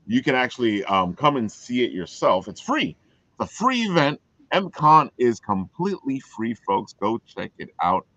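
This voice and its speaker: A man's voice: mid-range voice, fairly bright tone, dry recording, dull audio. A man hosting a casual promotional chat, talking in a folksy way and repeating phrases for emphasis. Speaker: show host.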